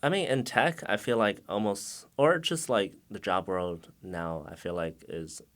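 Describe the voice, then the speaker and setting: Young male guest, originally from China, very low register, loose chatty delivery. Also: unhurried. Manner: relaxed, many fillers, laughs often. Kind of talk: casual interview answers.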